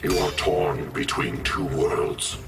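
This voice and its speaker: An actor playing an ancient, ominous sorcerer in a video game, solemn and portentous like a prophet of doom. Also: male voice, sits low, slow pace, far from the mic, heavy background noise. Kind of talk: dramatic video game dialogue.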